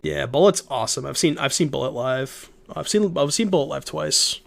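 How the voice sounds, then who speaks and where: A man's voice: on the high side, normal speed, gravelly. A young man with a stuffed-up, worn voice, chatting casually as he streams a card game and talks to his chat. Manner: chatty, thinks aloud, reads chat, many restarts. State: stuffed up, voice a little shot. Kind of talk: casual gameplay commentary.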